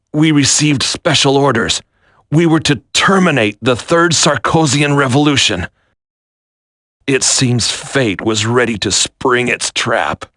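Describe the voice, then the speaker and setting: A military commander who has turned traitor, grave and resigned to fate. He speaks slowly and deliberately in a dry, close recording, with the solemn drama of a video game. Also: on the high side, fairly bright tone, no echo, heavily acted.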